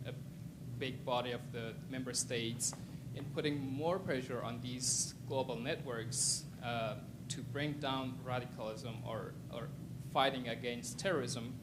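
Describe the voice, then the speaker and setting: A man from Afghanistan asking an earnest, somewhat halting question from the audience at a panel. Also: medium pitch. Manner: earnest, halting, restarts words. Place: conference hall with audience. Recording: noisy event hall recording.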